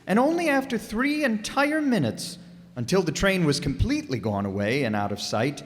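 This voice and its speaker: A man's voice: high-pitched, very animated, moderate pace. A man narrating a live radio play in a dramatic, literary storytelling style. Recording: echoey hall, music behind.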